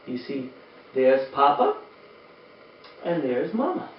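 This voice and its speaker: A man reading a children's story aloud in an animated, exaggerated way, chatting directly to young listeners. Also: medium pitch, slow pace, a little hiss or hum. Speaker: storyteller, former teacher. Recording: roomy, distant, dull sound.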